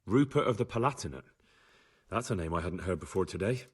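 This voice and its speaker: An actor playing a curious, plain-spoken young man in a medieval video game, with a husky voice. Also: low voice, animated, brisk. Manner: plain, inquisitive.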